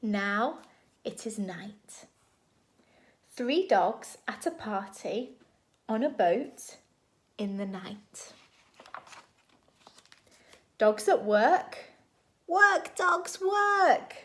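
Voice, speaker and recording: A woman reading a picture book aloud to young children in an expressive, deliberate storytime style. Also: a little high. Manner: expressive, animated, deliberate phrasing for small children.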